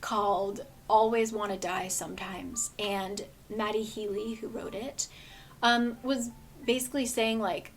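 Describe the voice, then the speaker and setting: A woman with a somewhat hoarse voice, chatting earnestly about books in a casual vlog, full of rambling asides. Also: medium pitch, fairly even intonation, unhurried, slight background noise. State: hoarse, voice gone a bit. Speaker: book vlogger. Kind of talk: casual book review chat.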